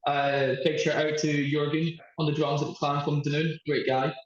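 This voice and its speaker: A man's voice: fairly high pitch, little pitch movement, moderate pace. Young adult Scottish male podcast guest. Chatty, easygoing delivery, heavily reverberant room, muffled lo-fi sound. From Scotland. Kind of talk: casual chat. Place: echoey indoor room at a hostel.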